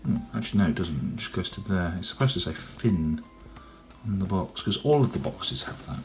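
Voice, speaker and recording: Young man, low gruff cold-roughened voice, loose rambling toy-review delivery. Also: animated, fairly quick, soft background music. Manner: rambling, chatty, frequent ums. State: has a cold, voice deep and gruff. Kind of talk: casual unboxing review. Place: indoors at a table, off camera.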